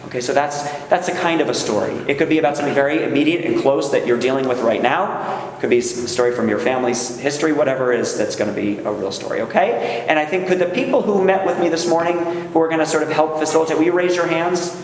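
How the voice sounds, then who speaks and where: A man's voice: a little high, fast, hardly any pauses. Guy running a workshop in a big hall, chatty delivery, lots of echo and a little room hiss. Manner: conversational, checks in with the audience. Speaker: rabbi leading a workshop. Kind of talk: speech to a gathering. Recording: echoey hall, faint room noise.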